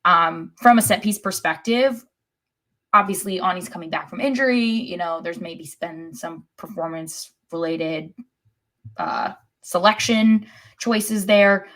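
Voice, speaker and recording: Female podcast co-host, lower-pitched, measured and a little hesitant, informal match analysis. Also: a bit bright. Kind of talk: casual soccer match analysis.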